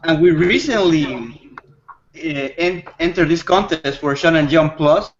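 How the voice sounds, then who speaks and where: A man's voice: on the high side, unhurried, dull audio, a little hiss or hum. A man chatting casually as a guest creator, easygoing and a little hesitant. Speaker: manga creator, panel guest. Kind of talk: casual chat.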